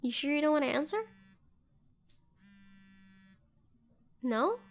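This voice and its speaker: A woman's voice: sits high, animated, fast. A woman playing a protective, tender doctor who looks after an injured ex-lover in an intimate audio roleplay, earnest in manner. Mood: concerned. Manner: earnest, soothing, hushed at times, fierce when protective. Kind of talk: scripted intimate audio roleplay addressed to the listener.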